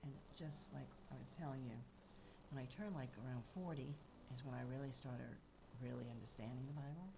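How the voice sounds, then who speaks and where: Soft-spoken low-voiced grandmother on radio. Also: fairly quick, background noise. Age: older. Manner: soft and quiet, chuckling. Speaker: guest. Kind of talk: casual chat on radio.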